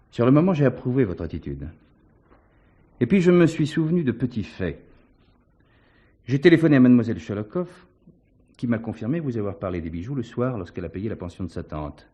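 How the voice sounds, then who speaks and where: A man playing a shrewd, assured police commissioner in a theatrical mystery, measured and ironically courteous. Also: medium pitch, sing-song, dark tone, dull audio, natural voice. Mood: assured, unmasking. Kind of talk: French stage mystery acting.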